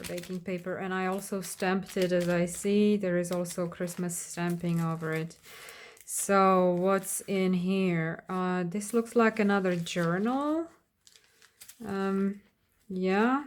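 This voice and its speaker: A woman whose first language is Slovak, giving an unscripted flip-through of a handmade craft journal in a hesitant manner, often pausing and searching for words. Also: mid-range voice, darkish tone. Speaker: craft vlogger.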